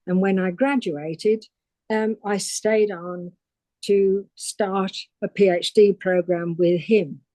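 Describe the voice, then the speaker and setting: An older woman from England, recounting her career as a guest on a podcast interview in a measured storytelling way. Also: mid-range voice, fairly even intonation, mellow, dry recording. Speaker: guest paleontologist.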